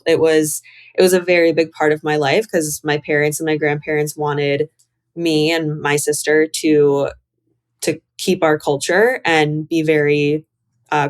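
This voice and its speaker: A young woman with an American accent and a low-pitched voice. She speaks as a podcast guest in a casual, candid style, reflective and conversational, with plenty of informal phrasing. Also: clear, resonant tone. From Chicago, United States. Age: young adult.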